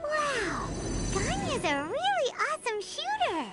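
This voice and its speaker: A tiny, excitable fairy-like girl companion from a video game, chirpy and blunt. The voice sits extremely high and leaps wildly up and down, with game music clearly behind it. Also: exaggerated voice. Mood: excited. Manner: chirpy, blunt, quick to exclaim.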